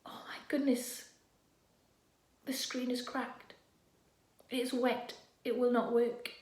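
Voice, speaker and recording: A woman's voice: on the high side, flat delivery, slow pace, in a whisper. A woman voicing a scared, stranded girl in a children's story, pleading earnestly to be rescued. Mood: worried, scared. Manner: pleading, earnest, calling out loudly. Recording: echoey room.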